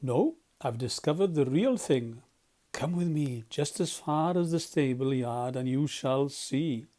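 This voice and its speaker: A man voicing a boastful, gushing, excitable toad in a storybook, airy and full of exclamations. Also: medium pitch, measured pace, close to an everyday voice. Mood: airy, eager. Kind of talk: storybook character voice.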